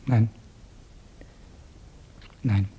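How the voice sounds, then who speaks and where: An actor in a German radio drama playing a tormented, evasive man who questions haltingly and insistently, with a husky, creaky voice. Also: lo-fi sound. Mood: strained admiration. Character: tormented, guilt-ridden Russian man, evasive and probing.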